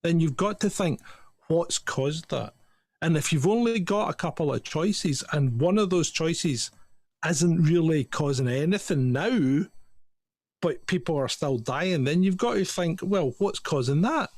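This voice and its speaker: Give me a man co-hosting a chat show, emphatic and animated, with a dry sound. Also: on the high side, unhurried, dry recording. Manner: emphatic, laughs often. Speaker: podcast co-host. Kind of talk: casual chat.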